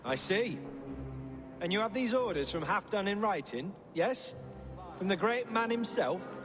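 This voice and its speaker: A man's voice: sits high, lively intonation, unhurried, soft background music. An actor playing a sly, scheming middle-aged puppet king who talks in a wry, silky, evasive way. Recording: game audio with long reverb.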